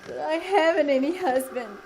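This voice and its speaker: A woman playing a fragile, despairing young soldier's wife with a halting, hesitant delivery in 1940s radio drama style. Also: sits high, lively intonation, creaky. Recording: live stage recording, background noise.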